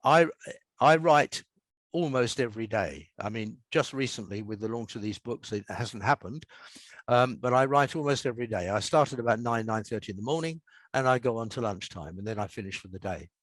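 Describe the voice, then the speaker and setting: An older man, a writer, speaking in a measured, explanatory and reflective way as a podcast guest. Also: medium pitch, a bit bright, no room sound. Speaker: guest author and scriptwriter. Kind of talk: reflective interview talk, with a short reading from own prose.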